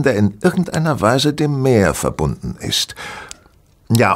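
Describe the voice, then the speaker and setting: A man playing a brilliant, self-assured gentleman detective in a German audio drama, explaining things deliberately with casual superiority. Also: lowish voice, heavily acted.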